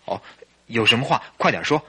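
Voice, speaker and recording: An actor playing a tense, impatient, hot-tempered husband in a radio drama, urgent in manner and very raspy. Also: male voice, medium pitch, lively intonation, fairly quick, sharp tone, a little hiss or hum. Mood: anxious, impatient.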